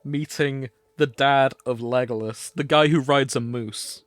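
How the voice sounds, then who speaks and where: A man's voice: mid-range voice, fairly even intonation, a little slow, no room sound. A man hosting a podcast, chatting in a casual, comedic way. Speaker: podcast host.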